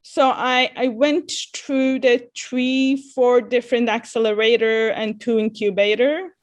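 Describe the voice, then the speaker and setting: A woman's voice: on the high side, fairly even intonation, a little slow. A woman in her thirties from Iran, raised in Dubai, speaking earnestly as a guest in an interview about founding her company, often repeating words as she restarts. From Iran, grew up in Dubai. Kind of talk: casual interview.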